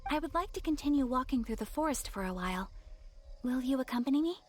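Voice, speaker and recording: A woman playing a gentle, earnest female video game character who speaks politely and formally. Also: sits high, expressive, quick pace. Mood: calm, reassured. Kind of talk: video game cutscene acting. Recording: music behind the voice.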